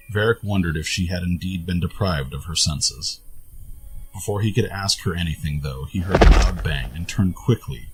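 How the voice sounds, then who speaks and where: A man narrates a scripted fantasy adventure. His voice is low, and quiet music plays underneath the reading. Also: low-quality recording.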